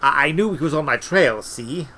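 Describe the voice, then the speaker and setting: A man voicing a defensive, ancient wizard servant in an audiobook, gruff and folksy, given to whining and ungrammatical asides. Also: on the high side, animated, moderate pace, bright, forceful tone, a little hiss or hum, pitched above the performer's own voice. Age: older. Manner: colloquial, ungrammatical, tacks on "see?" and "you know".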